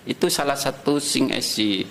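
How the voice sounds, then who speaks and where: A man's voice: mid-range voice, moderate pace, gravelly, reverberant, a little hiss or hum, low-quality recording. Tegal-accented man telling stories. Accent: Tegal Javanese. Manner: relaxed storytelling, mixing in regional dialect. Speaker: podcast guest. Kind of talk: casual conversation.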